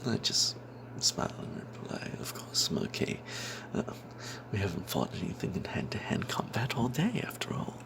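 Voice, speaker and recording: A man telling a fantasy tabletop tale as whispered ASMR, in a breathy, halting way. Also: fairly low pitch, wildly swooping pitch.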